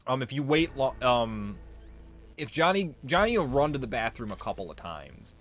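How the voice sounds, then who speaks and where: A man's voice: medium pitch, big pitch swings, normal speed, a bit bright, faint music behind. A man giving casual, rambling gameplay commentary, explaining things as he plays and swearing freely. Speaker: let's play host.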